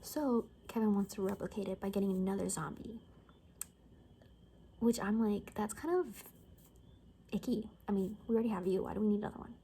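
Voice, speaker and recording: A woman playing a chatty, gossipy friend in an apocalypse ASMR roleplay, soft-spoken and breathy, often slipping into whispers, with casual rambling speech. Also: medium pitch, brisk, pauses often. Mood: conspiratorial, gossipy. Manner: rambling, casual, gossipy, full of filler words.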